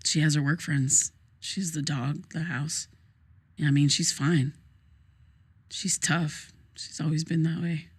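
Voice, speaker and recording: An actress playing a troubled, grieving young woman in a naturalistic audio drama, speaking in a hesitant, halting, casual way. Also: low voice, steady tone, breathy edge, exaggerated voice. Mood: guarded, sad. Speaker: therapy client in audio drama.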